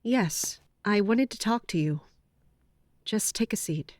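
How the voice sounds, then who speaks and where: An actress playing a weary, guarded female captain in an audio drama, speaking in a measured, restrained way. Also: medium pitch, lively intonation, darkish tone, no echo, clean studio sound. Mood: grave, restrained.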